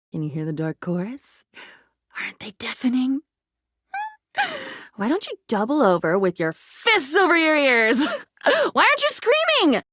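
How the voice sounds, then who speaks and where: A woman's voice: a little high, fairly bright tone, no echo, studio quality, heavily acted. A woman voicing a video game character, an unstable living diary, lonely and frantic, with a theatrical, erratic delivery. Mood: manic, tormented. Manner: theatrical, erratic, swinging between whispers and cries.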